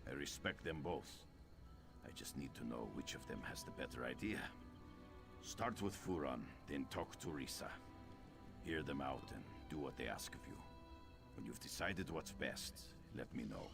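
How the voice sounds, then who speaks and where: An actor playing a gruff, weary fantasy-game sergeant, blunt and plain-spoken, with a deep gravelly voice. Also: male voice, animated, normal speed, over music, exaggerated voice. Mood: serious. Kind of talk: video game character acting.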